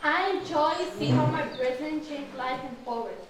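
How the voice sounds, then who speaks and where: A woman's voice: high voice, moderate pace, lightly acted. A woman playing a courtly wind spirit with a grand, formal manner in a puppet show. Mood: courtly, pleased. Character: courtly female wind spirit addressing the forest king. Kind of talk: puppet show stage acting. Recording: echoey distant room, clear noise.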